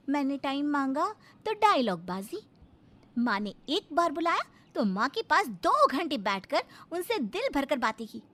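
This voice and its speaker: A woman's voice: high-pitched, fairly bright tone, pitched above the performer's own voice. A woman voicing an aggrieved, sarcastic young wife in a cartoon story, complaining in a plaintive, dramatic way.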